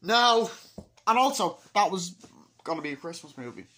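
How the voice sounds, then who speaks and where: A man in his twenties giving a rambling, informal, sweary movie review, excitable and breaking into acted-out snatches of dialogue. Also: high-pitched, moderate pace, a little hiss or hum. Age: 20s. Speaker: amateur film reviewer. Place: small wood-panelled room indoors. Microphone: laptop microphone at close range.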